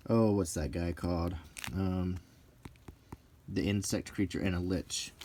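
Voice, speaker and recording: A middle-aged man talking casually and unscripted in an unhurried, musing way with frequent pauses, like an unintentional ASMR video. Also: low-pitched, steady tone, warm tone, a little hiss or hum. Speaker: channel host.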